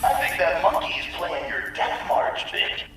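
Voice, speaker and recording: A theatrical raider announcer mocks the victims of a deadly video game arena with sadistic glee. The voice rides high and swings wildly, echoing heavily as if over public-address speakers. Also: male voice, expressive, unhurried, fairly bright tone, soft background music. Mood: gleeful menace. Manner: theatrical, mocking, showman-like. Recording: booming echoey public-address sound, distant.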